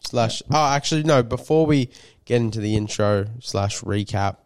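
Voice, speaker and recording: Male podcast host, low clear voice, slightly nasal, rambling casual delivery, close dry mic. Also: moderate pace, a little mellow, no room sound. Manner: rambling, swears a lot, many fillers. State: a bit nasal from a cold. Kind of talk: casual chat.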